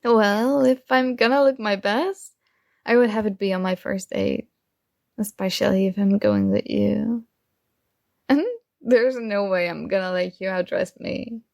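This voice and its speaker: A woman's voice: mid-range voice, lively intonation, unhurried, vocal fry, a little mellow, dry recording, clean studio sound. A woman acting a young roommate who is shy, teasing and smitten with a friend, in a playful, conversational ASMR roleplay.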